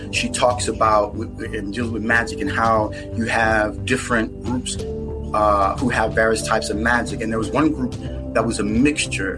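American male author guest, lower voice, thoughtful and halting, over background music. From United States. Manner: earnest, reflective, halting. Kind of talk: podcast interview. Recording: music playing underneath.

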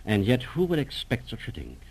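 An actor playing a suave, menacing German professor and spymaster in a radio drama, with formal, courteous and calculating speech. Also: male voice, mid-range voice, wildly swooping pitch, fairly quick, gravelly, husky, exaggerated voice, low-quality recording. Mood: suave, sly. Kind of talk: 1940s radio drama acting.

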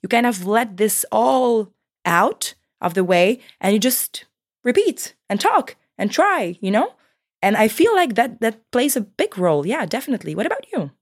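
A woman with a Brazilian accent, co-hosting a podcast in animated casual conversation, often laughing and saying "you know". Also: on the high side, normal speed, no room sound, clean studio sound. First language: Brazilian Portuguese. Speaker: podcast co-host.

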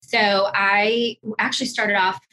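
A woman talking casually and emphatically as an interview guest in an office, over a noticeable low rumble. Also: fairly even intonation, a little slow. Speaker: podcast guest, wealth management executive.

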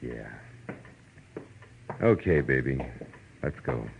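A man's voice: extremely low pitch, expressive, slow, vocal fry, very noisy, full character voice. An actor playing a wry, unflappable American private eye in a 1940s radio drama, talking in clipped tough-guy slang. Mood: weary, wry. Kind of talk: 1940s radio detective acting with first-person narration. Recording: dull vintage radio recording with loud music.

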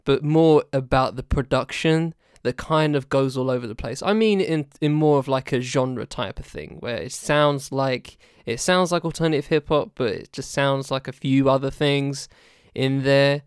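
A man in casual podcast chat, circling around his point with halting restarts. His voice is smooth and resonant, and it sits on a dry, close mic with no room sound. The effect is relaxed and unscripted. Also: medium pitch, no room sound. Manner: rambling, hesitant, lots of restarts. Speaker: podcast co-host.

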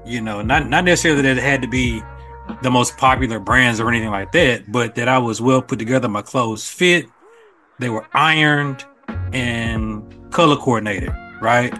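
A man from Arkansas with an American accent speaks at a medium pitch. He is a podcast guest, talking in a casual, reflective, conversational way. Music plays faintly behind his voice. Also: moderate pace, bright, forceful tone. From Little Rock, Arkansas, US.

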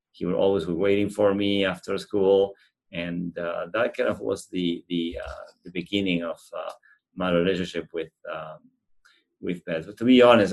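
A middle-aged man with an Argentine accent, answering interview questions in an earnest, halting, conversational way. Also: low voice, low-quality recording. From central Argentina. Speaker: animal shelter representative being interviewed.

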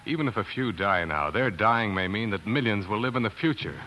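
A man's voice: lowish voice, animated, fairly quick, sharp tone, slight background noise. An American man acting in a 1940s radio drama as an earnest, grief-stricken doctor telling his own tragic story, on a vintage broadcast recording. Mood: earnest, weary.

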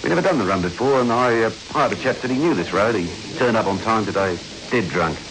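An actor playing a genial but hesitant truck owner in a 1960s radio drama, stumbling through his offers with frequent pauses. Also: on the low side, animated, background noise. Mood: hesitant, businesslike. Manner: hesitant, full of pauses and uhs. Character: genial, shifty-hesitant male truck owner hiring a driver.

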